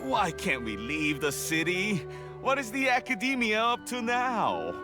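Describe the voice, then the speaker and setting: A man's voice: high-pitched, wildly swooping pitch, measured pace, full character voice. A man playing an anxious, flustered merchant in a video game, agitated and pleading. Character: anxious, flustered merchant stuck at city gate. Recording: music behind the voice.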